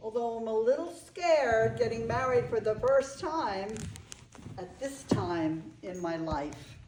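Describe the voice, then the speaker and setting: Woman acting slow-spoken older bride, echoey room. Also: a little high, expressive, background noise. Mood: wistful, nervous. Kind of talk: staged play reading. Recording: roomy, echoing live room recording.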